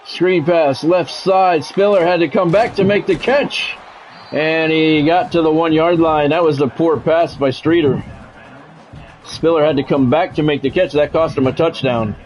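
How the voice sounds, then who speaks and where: A man's voice: on the high side, measured pace, darkish tone, a little hiss or hum. A middle-aged American man doing play-by-play of a football video game on a livestream, mixing polished, clipped announcer calls with casual chat to viewers.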